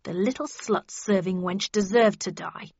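A woman's voice: mid-range voice, measured pace, darkish tone, breathy edge, no room sound, highs cut off. A woman voicing a spiteful, self-pitying male villain, a squire given to anguished outbursts in an audiobook. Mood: venomous. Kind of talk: audiobook character acting.

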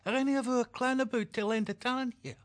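A man's voice: very high voice, steady tone, a little fast, pitched above the performer's own voice. A man voicing a bold young male falcon with a Scottish accent and a brash, boastful manner.

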